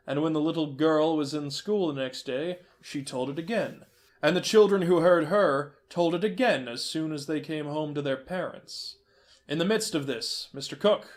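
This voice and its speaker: Fairly high-voiced man telling a fairy tale. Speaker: narrator. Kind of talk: storybook narration.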